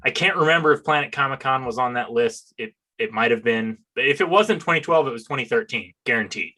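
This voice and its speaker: An American man with a bright, forward voice at an ordinary male pitch. He hosts a relaxed conversational interview in an animated, enthusiastic way. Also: a little fast. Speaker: podcast host and interviewer. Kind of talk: casual interview chat.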